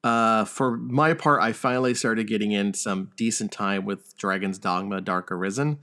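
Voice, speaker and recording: Low-voiced man hosting a casual podcast. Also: steady tone, normal speed, bright, forceful tone. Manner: wry, joking, mildly profane. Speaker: podcast host. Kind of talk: casual chat about news and video games.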